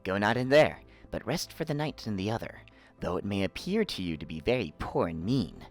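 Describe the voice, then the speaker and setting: A man's voice: lowish voice, sing-song, a little fast, faint music behind. A man voicing a cunning fox from a storybook, a wise helper who gives counsel in an instructive, commanding manner. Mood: urging. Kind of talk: storybook character voice.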